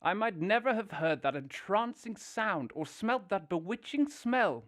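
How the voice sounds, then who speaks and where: Man playing a high-pitched, swooning, vain toad. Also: expressive, a little slow, a bit bright, no echo, clean studio sound. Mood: gushing, indignant. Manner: theatrical, gushing, grandiose. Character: vain, rapturous male toad, dreamy and car-obsessed. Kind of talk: audio drama acting.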